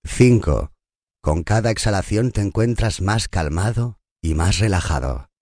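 Deep-voiced man guiding meditation, dry sound. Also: no echo. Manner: calm, soothing, unhurried delivery. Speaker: meditation narrator. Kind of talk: scripted guided meditation narration.

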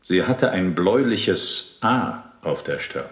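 An actor playing a dry, flirtatious, unflappable detective who also narrates in a German audio drama. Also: male voice, low-pitched, big pitch swings, slow pace, husky, heavily acted. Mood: insistent. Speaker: lead actor and first-person narrator.

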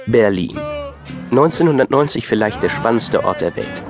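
A man's voice: lowish voice, animated, moderate pace. A man narrating an audio drama from a script in a dryly wry storytelling manner. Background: music.

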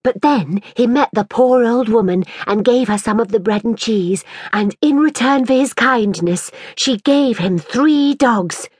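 A woman's voice: on the high side, unhurried, hardly any pauses, a little mellow, slightly rough, dry recording, studio quality, pitched above the performer's own voice. A woman voicing a haughty, boastful young girl with a pompous, grand manner in a storybook reading. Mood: storytelling.

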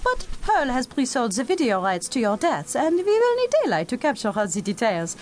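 Evil mad scientist, radio play, high pitched and very singsong, sweetly threatening. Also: female voice, wildly swooping pitch, normal speed, a little mellow, slight background noise. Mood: sweetly menacing. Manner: gracious, theatrical menace. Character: suave villainous French mad scientist woman, gleefully sinister. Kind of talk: comic sci-fi radio drama acting.

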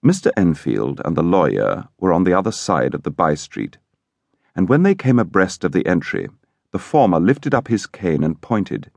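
A man narrating literary fiction in a measured, dramatic way as an audiobook reader. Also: low-pitched, big pitch swings, creaky, a little mellow, dry recording.

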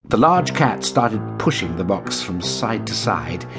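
A man narrating a children's bedtime story in an expressive storytelling style. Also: lowish voice, measured pace, over music. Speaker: audiobook narrator.

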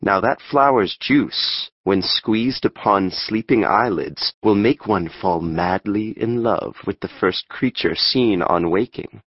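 An imperious, scheming fairy king acted by a man in a Shakespearean audiobook. He speaks slowly and with stately deliberation, fairly low. The recording is dry. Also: no echo, muffled recording. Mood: scheming, commanding.

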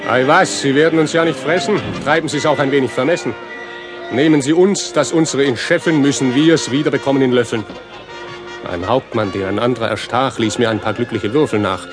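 A man's voice: mid-range voice, fairly even intonation, brisk, over music, natural voice. An actor playing a grumbling, crafty peasant father in a German radio play, speaking rustic declamatory rhyme. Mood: sly, defiant.

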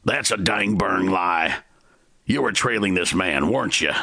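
Man voicing a barking desert gunman, raised from a low creaky voice, lively delivery. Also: unhurried, no echo, clean studio sound, heavily acted. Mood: menacing. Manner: barking, threatening. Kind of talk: storytelling.

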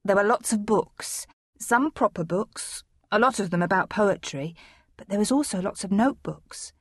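Earnest, puzzled schoolgirl played by a woman for radio-style drama; slightly high, breathy voice, dry close sound. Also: moderate pace, no room sound, close to an everyday voice. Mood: earnest, subdued. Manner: earnest, questioning. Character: earnest, puzzled young schoolgirl, great-granddaughter. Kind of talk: audio drama acting.

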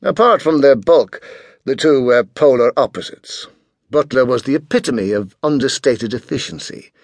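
A man reading a novel aloud as an audiobook narrator, in expressive scripted narration. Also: medium pitch, normal speed, dry recording.

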